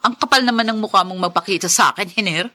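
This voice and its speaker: A woman's voice: mid-range voice, fast, bright, forceful tone, natural voice. A woman playing an indignant, defiant sister in a Filipino radio drama, scornful and confrontational.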